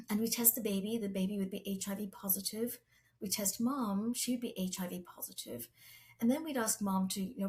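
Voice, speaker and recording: A middle-aged woman who grew up in Zambia, a guest on an interview podcast, speaking in an earnest, measured, reflective way. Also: mid-range voice, darkish tone. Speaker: guest, pharma medical executive. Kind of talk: interview storytelling.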